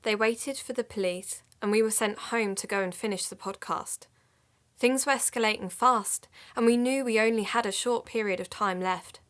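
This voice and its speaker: An actress playing a serious podcast host, giving scripted narration in an audio drama. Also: female voice, fairly high pitch, moderate pace, fairly bright tone, lightly acted. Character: female podcast host narrating a tense investigation.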